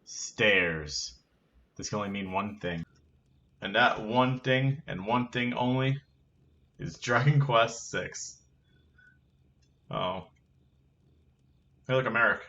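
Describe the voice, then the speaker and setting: A man's voice: fairly low pitch, slow pace, long pauses, pushed and bright, dull audio. A man commentating a video game, reading the dialogue aloud and joking as he plays. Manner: playful, reads characters in silly voices and jokes. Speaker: let's play host.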